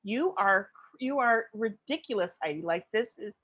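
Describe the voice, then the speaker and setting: A woman's voice: mid-range voice, moderate pace, highs cut off. A woman in her late fifties co-hosting a podcast, telling stories in casual chat with lots of laughter. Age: 50s. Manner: giggly, animated storytelling with frequent laughter. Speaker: podcast co-host.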